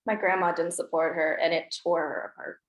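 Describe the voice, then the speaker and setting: A woman's voice: fairly low pitch, level tone, brisk. A woman reading reflective voice-over narration at a table read. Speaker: narrator as the grown daughter. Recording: echoey room.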